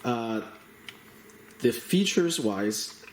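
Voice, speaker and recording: A man giving a casual hands-on product demonstration as a reviewer, speaking in a halting, matter-of-fact way. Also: medium pitch, lively intonation. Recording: roomy echo, slight background noise.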